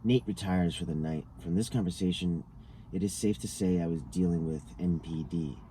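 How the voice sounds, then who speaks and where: Very bright, very deep-voiced man reading. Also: normal speed, rough recording. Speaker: reader of a written transcript. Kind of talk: scripted reading of an interview dialogue. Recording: noticeable background noise.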